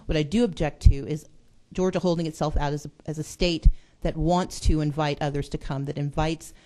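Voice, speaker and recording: A woman's voice: low voice, steady tone, brisk, mellow. A woman legislator giving an earnest, persuasive speech in a committee hearing. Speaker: state legislator.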